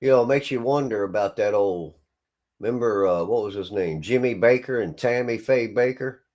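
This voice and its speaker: A man's voice: fairly low pitch, moderate pace, darkish tone, no room sound, clean studio sound. A man who is a guest on a livestream panel, speaking in a drawling, preachy way. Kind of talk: casual livestream chat.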